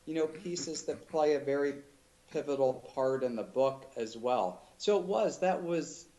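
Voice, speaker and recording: A man being interviewed as an author, talking in a thoughtful, halting way with many restarts. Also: mid-range voice, warm tone, poor audio. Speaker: author guest. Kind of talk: casual interview chat.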